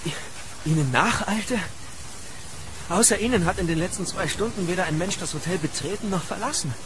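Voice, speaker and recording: An actor playing a polite, worried young hotel employee in a horror audio drama. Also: male voice, sits high, moderate pace, background noise, close to an everyday voice. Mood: bewildered. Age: young adult. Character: anxious, deferential young hotel employee calling after a guest.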